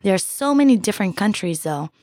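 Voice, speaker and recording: A woman from Peru whose first language is Spanish co-hosts a language lesson. She explains things in a friendly, measured way at a middle pitch. Her voice is close and dry on a studio mic. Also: animated, a little mellow, no echo. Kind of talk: scripted lesson dialogue.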